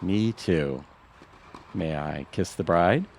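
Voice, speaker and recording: A man's voice: sits low, animated, takes it slowly, slight background noise, full character voice. A man playing a boyish, romantic goofball husband in a comedic audio play. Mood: earnest, pleading. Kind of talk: comedic audio theatre acting.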